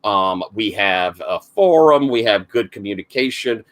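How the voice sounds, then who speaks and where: Man, Southern accent, gravelly voice, middle-aged, rambling game master, online call. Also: lowish voice, lively intonation, a little slow, a bit bright. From South Carolina, US. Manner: rambling, lots of fillers and restarts. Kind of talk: casual introduction of a game.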